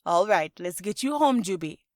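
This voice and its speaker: A woman voicing a caring older sister, a young dancer, gentle, reassuring and affectionate, in an audio storybook. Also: medium pitch, animated, normal speed, dry recording, clean studio sound, close to an everyday voice. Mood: gently reassuring.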